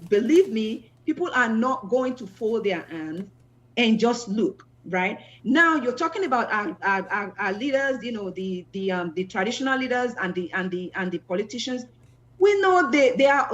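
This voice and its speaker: A woman answering as a panel guest, halting and full of fillers. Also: a little high, highs cut off. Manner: halting, many fillers and restarts.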